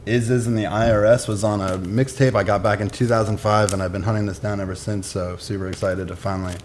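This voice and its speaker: A man with a fairly low voice speaks casually, as if showing things to friends. His phrases are short, informal and unscripted. There is faint noise behind him. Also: steady tone, fast talker. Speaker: musician presenting record picks. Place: indoors in a record shop.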